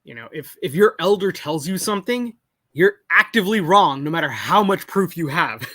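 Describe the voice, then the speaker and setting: A young man calling in to a live show, talking in a halting way full of restarts and self-corrections. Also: a little high, expressive, bright, forceful tone, dry recording. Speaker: caller. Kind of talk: casual call-in conversation. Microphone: call-in over a video call.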